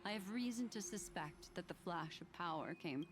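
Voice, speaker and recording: An actress playing a composed, scholarly, enigmatic memory keeper in a video game, speaking in a measured, formal way. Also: female voice, fairly low pitch, lively intonation, background noise, lightly acted. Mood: grave, explaining. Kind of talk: scripted video game dialogue.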